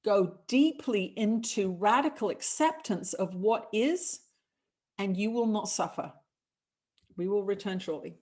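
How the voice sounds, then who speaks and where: A middle-aged woman, a spiritual channeler hosting a show, giving calm, measured guidance as a collective "we" and chatting with callers. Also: medium pitch.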